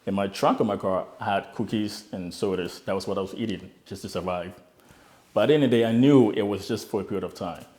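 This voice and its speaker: A man's voice: on the low side, quick pace, a little mellow. A young man, earnestly telling his own immigrant story in an interview, often repeating words as he restarts. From Ghana. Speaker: interviewee, immigrant start-up founder. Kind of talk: reflective personal story.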